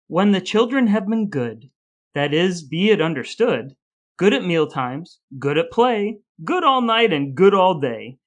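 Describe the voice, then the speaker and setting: A man playing a mock-solemn storybook narrator, reading grim cautionary verse in a measured, emphatic, theatrical way. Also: sits high, sing-song. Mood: sweetly formal.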